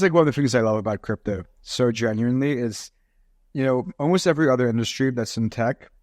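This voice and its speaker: A man's voice: mid-range voice, no room sound, studio quality. A man hosting a podcast, asking guests questions and sharing opinions enthusiastically. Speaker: podcast host. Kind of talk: casual podcast talk.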